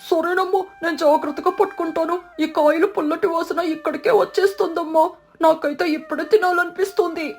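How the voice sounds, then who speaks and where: A woman's voice: very high voice, fairly even intonation, brisk, soft background music. A woman voicing an admiring, eager little bird sidekick in a children's cartoon, with a gushing childlike manner. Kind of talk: Telugu children's cartoon dialogue.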